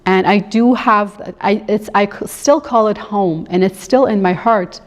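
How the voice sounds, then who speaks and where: A woman's voice: medium pitch, measured pace. A woman from Canada, born in Iran, giving a reflective, informal conference presentation as an instructor explaining student work. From grew up in Canada, born in Iran. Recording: echoey hall, long reverb.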